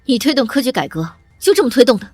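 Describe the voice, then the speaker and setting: A woman's voice: a little high, big pitch swings, fast talker, darkish tone, husky, dry recording, soft background music. An actress playing an imperious young ruler in a historical comic drama, stern and authoritative as she reproves. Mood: stern, reproving.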